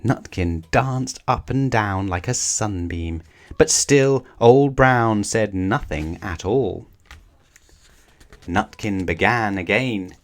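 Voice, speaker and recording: A man reading a children's story aloud in a measured, expressive storytelling manner. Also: lowish voice. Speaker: storybook reader.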